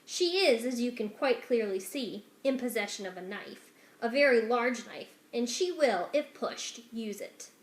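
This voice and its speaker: A villainous male rat from a children's storybook, played by a woman, speaks with deliberate, formal courtesy that barely covers menace. He commands and condescends. The room around the voice rings with echo. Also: a little high, expressive. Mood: smug menace. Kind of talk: dramatic children's storybook reading. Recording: echoey room.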